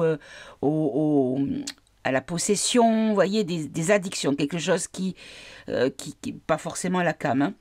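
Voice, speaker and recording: A woman's voice: sits low, darkish tone. A woman with a cold, reading tarot cards for her viewers in a casual, hesitant way, often asking the cards questions aloud. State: has a cold. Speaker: tarot card reader.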